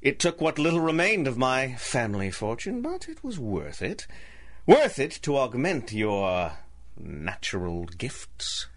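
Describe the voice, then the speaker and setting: An actor playing an embittered, scheming ruined gentleman in a radio drama, with formal, theatrical speech. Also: medium pitch, very animated, unhurried. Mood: suave, sinister. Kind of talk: 1930s-set radio drama acting.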